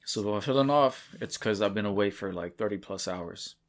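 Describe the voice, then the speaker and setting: Dude cohosting a podcast, low voice, blunt and sweary, tired w a toothache, just chatting. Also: male voice, a little fast. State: aching tooth, short on sleep. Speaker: podcast co-host. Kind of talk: casual chat. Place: home game room.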